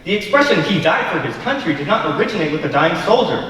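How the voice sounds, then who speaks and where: A young man acting a contrary, cynical drunken poet in a high school stage play, speaking in a deliberate, articulate, argumentative way. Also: sing-song, a bit bright. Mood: defiant, lecturing. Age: young adult. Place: large school auditorium stage. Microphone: far camera. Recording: distant, echoey auditorium with faint noise.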